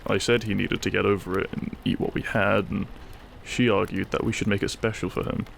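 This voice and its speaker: Man acting devoted husband, low gravelly voice. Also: little pitch movement, brisk, slight background noise. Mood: wry. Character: devoted, wry British husband sheltering a guest. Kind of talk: intimate audio roleplay storytelling.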